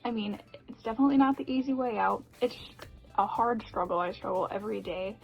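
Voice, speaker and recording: A woman's voice: mid-range voice, a little fast, gravelly, slightly rough, dull audio, soft background music. A young woman talking casually to her viewers in a personal vlog, chatty, with frequent laughs and "um" fillers. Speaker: vlogger.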